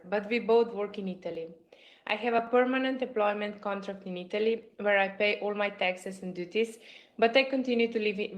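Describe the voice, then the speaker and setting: Woman reading aloud, echoey room. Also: mid-range voice. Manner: careful scripted delivery with small stumbles. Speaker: parliamentary assistant. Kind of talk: reading citizens' messages aloud in a video.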